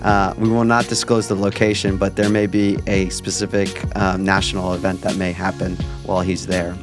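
A man's voice: low voice, little pitch movement, normal speed, over music. A man hosting a podcast, chatting casually and rambling through stories with the odd swear. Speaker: podcast host. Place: at a park.

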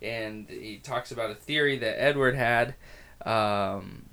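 A man with a raspy voice hosting a casual comic book podcast, talking with many pauses, fillers and restarts. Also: medium pitch, pushed and bright.

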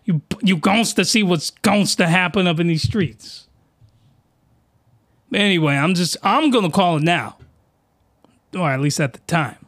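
A man's voice: high voice, a little slow, pauses often, fairly bright tone. A man hosting a podcast, recapping an anime in a rambling, mock-outraged way, losing his voice as it cracks. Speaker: podcast host.

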